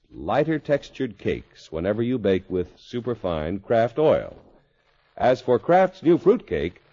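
Husky, very animated male radio announcer. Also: on the low side. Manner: polished, emphatic sales delivery. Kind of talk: 1950s radio advertisement, scripted. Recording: muffled old radio recording.

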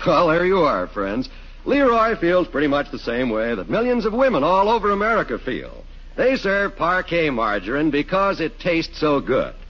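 A man's voice: a little high, wildly swooping pitch, normal speed, fairly bright tone. A man announcing in a 1940s radio style, emphatic and salesmanlike, with scripted narration. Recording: muffled 1940s radio recording.

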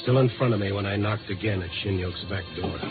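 A man's voice: lowish voice, fast, over loud music, lightly acted. A man playing a wry, tough newspaper reporter in a 1950s radio noir drama, with a clipped, sardonic delivery. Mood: brooding, weary. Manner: sardonic, hard-boiled, clipped. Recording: narrow-band vintage radio broadcast.

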